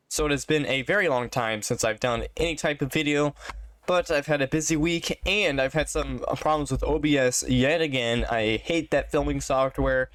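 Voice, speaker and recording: A man's voice: medium pitch, no room sound. A congested man giving casual, rambling commentary as he plays a game, thinking aloud and often unsure. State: congested from allergies. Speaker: gaming channel host.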